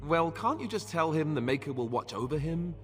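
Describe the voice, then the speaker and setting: A pragmatic companion in a fantasy video game. His voice is fairly high and swings in a lively way, informal and coaxing. A reverberant hall surrounds it. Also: fairly quick, faint music behind.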